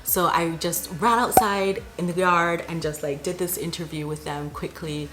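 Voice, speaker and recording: A woman from Barbados telling a personal story to camera as a vlogger, in an animated, chatty and emphatic way. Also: fairly low pitch, moderate pace, over loud music.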